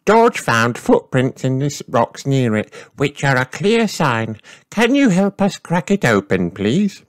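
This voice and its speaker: A man's voice: mid-range voice, sing-song, no echo, clean studio sound, voice pushed up. A man voicing an earnest little boy elephant who speaks like a know-it-all in a storybook reading. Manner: slow, careful, precise.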